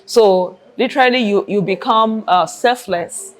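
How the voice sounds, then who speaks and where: A woman with a Nigerian accent gives an explanatory interview answer. Her voice sits at a medium pitch. She leans on key phrases by saying them again, as an air traffic controller describing her work. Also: measured pace.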